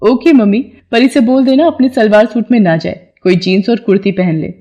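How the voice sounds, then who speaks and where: Casting: haughty city college girl for a moral story, played by a woman, bossy, scornful, soft-edged mellow voice. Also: on the high side, normal speed, highs cut off, pitched above the performer's own voice, natural voice. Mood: grudging. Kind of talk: dramatised Hindi moral story.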